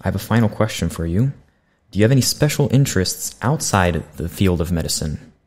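A man's voice: deep voice, lively intonation, brisk, darkish tone. A young man hosting a student podcast, politely reading prepared questions into a studio condenser mic. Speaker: podcast host. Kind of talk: scripted interview hosting. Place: small bright studio room. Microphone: studio condenser mic on boom arm.

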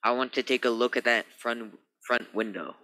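A man's voice: mid-range voice, moderate pace, bright, forceful tone, lightly acted. A man acting a skeptical, commanding spaceship captain in a radio play, emphatic and authoritative.

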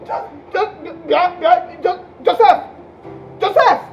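A woman's voice: very high-pitched, takes it slowly, faint music behind. An actress with a Nigerian accent playing a hot-tempered, theatrical jilted girlfriend in a phone-call drama. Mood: furious, cursing. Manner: theatrical, exclamatory, repetitive. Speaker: actor in a comic relationship drama.

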